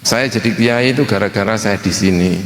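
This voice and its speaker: Low-voiced man in an echoey hall. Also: a little fast. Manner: self-deprecating, joking, conversational. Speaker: Islamic cleric (kiai). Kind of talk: informal religious talk with personal anecdotes. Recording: echoey hall with long reverb.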